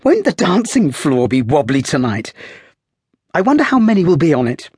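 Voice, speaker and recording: A man doing a lively teasing young English lady. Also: normal speed, slightly rough, no room sound, studio quality. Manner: bright, playful, raised pitch.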